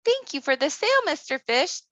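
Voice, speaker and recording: A woman's voice: sits high, big pitch swings, moderate pace, fairly bright tone, dry recording, highs cut off, voice pushed up. A woman voicing a tiny storybook girl, sweet, eager and well-mannered. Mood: grateful.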